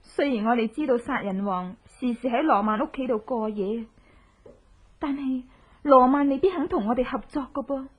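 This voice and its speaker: A muffled, lo-fi radio drama recording carries a vengeful widow with a high voice and a Hong Kong Cantonese accent. She sounds slightly husky, intense and melodramatic, desperate yet resolute. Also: normal speed. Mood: urgent, eager. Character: vengeful widow in hiding, determined, desperate, posing as beggar.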